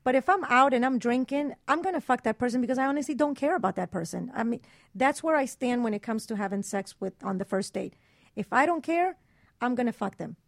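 Blunt, higher-voiced woman on talk radio. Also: expressive, brisk, vocal fry, no echo, clean studio sound. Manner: blunt, emphatic. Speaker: radio show co-host. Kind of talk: opinionated talk radio discussion.

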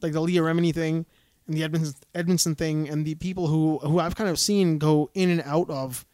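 High-voiced man telling rambling stories. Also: steady tone, a little fast, darkish tone, no room sound, clean studio sound. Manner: rambling storyteller, acts out quotes. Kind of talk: casual chat.

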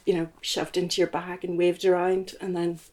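A woman's voice: fairly low pitch, little pitch movement, normal speed, warm tone. A woman in her late forties with a Northern Irish accent, reminiscing casually as an interview guest in a thoughtful, slightly hesitant way. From Belfast, Northern Ireland. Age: late 40s. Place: indoors in a house.

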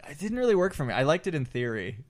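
A man's voice: fairly high pitch, very animated, racing. A man co-hosting a pop culture podcast in a casual, joking, opinionated way. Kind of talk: casual group chat.

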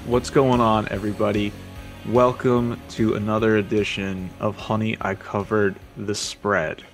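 Excitable young man hosting a podcast. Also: fairly low pitch, a little slow, soft background music. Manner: eager, excitable storyteller. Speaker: podcast host. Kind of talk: casual sports chat.

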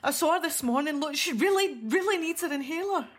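A woman's voice: high voice, lively intonation, brisk. A woman playing a worried, insistent Scottish sister phoning for help in a radio play, urgent and exasperated.

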